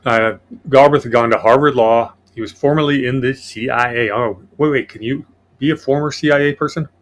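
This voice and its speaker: A man's voice: medium pitch, steady tone, brisk. A man hosting a casual political podcast, reading from his notes in a sardonic way. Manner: sardonic, reads notes aloud. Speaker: podcast host.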